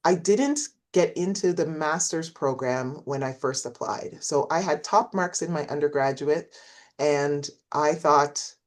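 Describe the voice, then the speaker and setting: A woman's voice: sits low, measured pace. A middle-aged woman, a professor, talking in an interview in a reflective storytelling way. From Canada. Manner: reflective, storytelling, acts out quoted voices. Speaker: guest, professor. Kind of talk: interview conversation.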